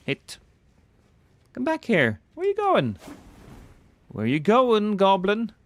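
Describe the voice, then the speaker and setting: Norwegian male streamer, fairly high voice, joking chat banter with loud bursts at the game. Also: wildly swooping pitch, takes it slowly, dry recording. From Norway. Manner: reacts loudly to in-game action. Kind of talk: casual live gameplay stream chat.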